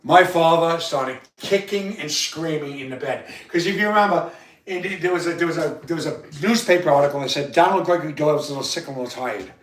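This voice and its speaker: A man with a loud voice hosting a livestream, telling a rambling personal story with halting restarts and repeated words. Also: fairly high pitch. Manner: repetitive, halting, many restarts. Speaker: livestream host. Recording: roomy sound, far from the mic.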